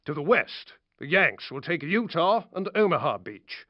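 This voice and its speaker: Stern British officer, slightly high voice. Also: male voice, very animated, moderate pace. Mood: stern, formal. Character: stern British army officer briefing his troops. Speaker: military officer. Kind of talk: scripted briefing. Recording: dull game audio with clear noise.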